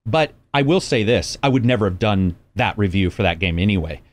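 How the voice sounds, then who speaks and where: A man with a fairly low voice chats casually, agreeable and easygoing. He laughs readily and backs up the others' points. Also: lively intonation. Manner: agreeable, chatty, quick to laugh. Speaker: podcast guest. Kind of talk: casual discussion.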